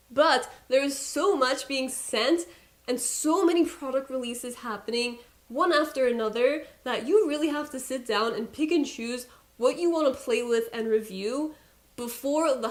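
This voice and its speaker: A young woman with a Persian accent, a beauty YouTuber talking casually to camera, chatty and exasperated with playful asides. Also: fairly high pitch.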